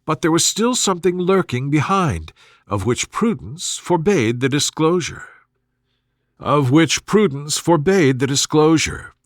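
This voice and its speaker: A man's voice: a little high, expressive, measured pace, no room sound, clean studio sound. A man narrating a classic story as a soothing, scripted bedtime reading. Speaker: audiobook narrator.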